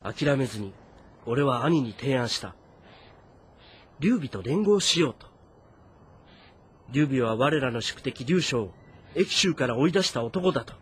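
A man's voice: medium pitch, lively intonation, a little slow, pauses often, lightly acted. Guy acting weary anxious ancient chinese army commander, brooding n earnest, game drama, bit of noise behind. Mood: brooding, frustrated.

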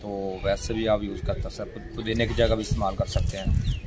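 A man in his thirties with a lowish voice gives short, unhurried answers about his garden. He is recorded outdoors on a handheld camera mic, with bird calls and open-air noise clearly heard behind him. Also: little pitch movement. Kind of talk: answering interview questions. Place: outdoor walled kitchen garden. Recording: outdoor ambience with birds.

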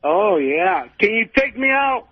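High-voiced male caller, phone line. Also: sing-song, a little slow, breathy edge, no echo. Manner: enthusiastic fan, casual. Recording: tinny phone line on radio.

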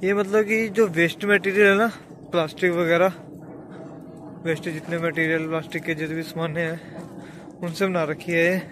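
A man's voice: fairly high pitch, background noise. A young man casually narrating a travel vlog into his phone, chatting to viewers as guys, first outdoors by the sea and later at home. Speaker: vlogger.

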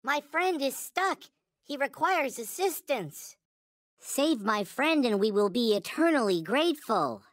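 A man voicing a small, naive, reverent toy space alien in a video game, with a high childlike cartoon voice and solemn, stilted, formal phrasing. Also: big pitch swings, unhurried, fairly bright tone, dry recording, clean studio sound, heavily acted. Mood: worried, pleading. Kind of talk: video game character voice lines.

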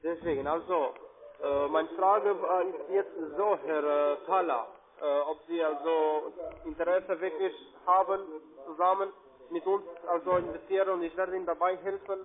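A man with a fairly high voice and a foreign accent talks over a tinny phone line. He works through a call center sales pitch haltingly, often repeating himself. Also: steady tone, crowd noise. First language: not German. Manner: hesitant, repetitive. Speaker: call center investment agent.

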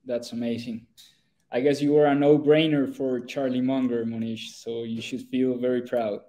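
A male interviewer with a flat, even delivery and a dark, mellow tone. He comes across as friendly, speaking over a video call. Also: mid-range voice, normal speed.

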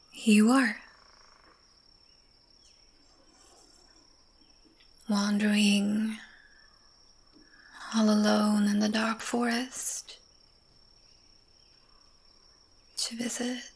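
A woman playing a young, tormented, lovesick vampire in an ASMR roleplay, breathy and often whispering, halting and intense. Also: medium pitch, flat delivery, exaggerated voice. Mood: brooding, hushed. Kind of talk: ASMR roleplay, dramatic monologue.

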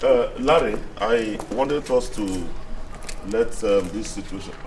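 An actor playing an evasive, apologetic two-timing husband who mumbles and stammers in a film melodrama. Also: male voice, on the low side, a little slow, darkish tone, a little hiss or hum, natural voice. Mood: flustered, pleading.

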